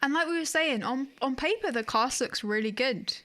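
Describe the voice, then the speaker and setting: A woman's voice: high voice, lively intonation, moderate pace, bright, forceful tone. A woman co-hosting a podcast, chatting casually. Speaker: podcast co-host. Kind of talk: casual chat about films and shows.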